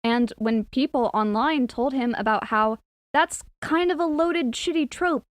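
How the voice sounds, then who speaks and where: A woman's voice: high-pitched, measured pace, no echo, studio quality. A woman delivering scripted opinion commentary in a video essay.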